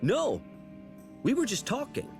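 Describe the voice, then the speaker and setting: An earnest young apprentice angel. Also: male voice, very high-pitched, a little slow, gravelly, exaggerated voice. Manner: earnest, lively questions. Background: game music.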